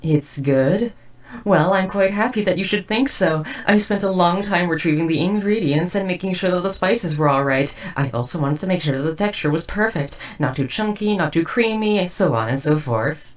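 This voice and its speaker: A woman's voice: lowish voice, moderate pace, far from the mic, natural voice. A woman playing a socially awkward, devoted but murderous robot house spouse in a roleplay audio drama, speaking in stiff, wordy, formal phrases. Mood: attentive, matter-of-fact. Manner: stiff, verbose, overly formal wording. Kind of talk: scripted roleplay audio drama addressed to listener.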